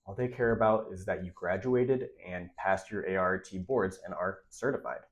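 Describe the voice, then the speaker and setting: A man's voice: sits low, moderate pace. Congested, nasal young man giving advice. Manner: direct, emphatic, repeats key points. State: congested, nasal from a recent illness. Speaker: radiology tech creator giving career advice. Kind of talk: casual advice vlog to camera.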